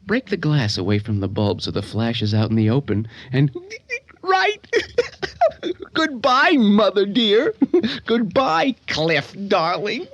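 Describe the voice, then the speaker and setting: A man's voice: sits high, very animated, slow pace, a bit bright, lo-fi sound, full character voice. An actor playing a disturbed, scheming son in a radio drama, stammering and shifting tone as he plots murder with nervous glee. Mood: manic glee. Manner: stammering, talking to himself in shifting tones. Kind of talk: old-time radio drama acting.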